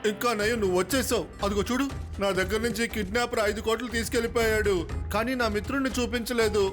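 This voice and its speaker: High-voiced, flustered old grandpa. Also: male voice, steady tone, fast talker. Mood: flustered, eager. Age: older. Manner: flustered, repeats himself. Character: excitable, naive, loyal old grandfather. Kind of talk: cartoon dub acting. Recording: loud music underneath.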